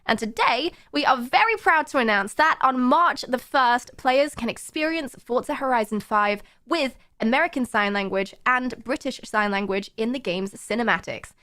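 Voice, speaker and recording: Bright-voiced woman hosting a show. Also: fairly high pitch, lively intonation, moderate pace, few pauses, no echo, clean studio sound. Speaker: host. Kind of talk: presenting and interviewing.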